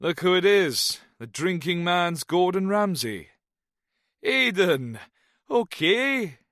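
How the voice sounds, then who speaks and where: A man voicing a jovial, leering fifty-something Welsh teacher, with a lilting, slow Welsh accent. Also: high voice, very animated, a bit bright, dry recording, clean studio sound, voice pushed up, exaggerated voice.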